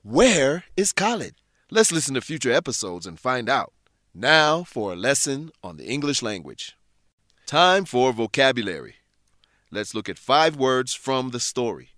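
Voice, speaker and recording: A man's voice: mid-range voice, sing-song, unhurried, a bit bright, no echo, studio quality. A man narrating a radio serial and hosting its English lesson, putting scripted questions to listeners.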